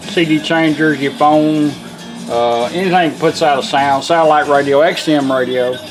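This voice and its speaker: A man's voice: on the high side, normal speed, poor audio. A man giving an informal, plain-spoken, step-by-step demonstration of a product. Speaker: car radio builder giving a product demonstration. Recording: music playing behind the voice.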